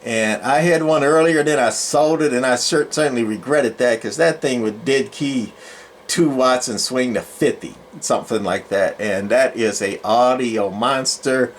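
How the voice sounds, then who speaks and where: Male hobby collector, mid-pitched, informal show-and-tell delivery, meandering and halting, some background noise. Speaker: collector presenting his radio collection. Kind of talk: casual unscripted show-and-tell.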